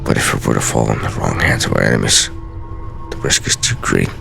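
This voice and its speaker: A man playing a solemn, brooding scholar reading out secret journal pages in a grave, measured, theatrical way for a game. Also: a bass voice, vocal fry, bright, forceful tone, soft background music, pitched below the performer's own voice, exaggerated voice. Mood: grave, wondering. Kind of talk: dramatic reading of game lore.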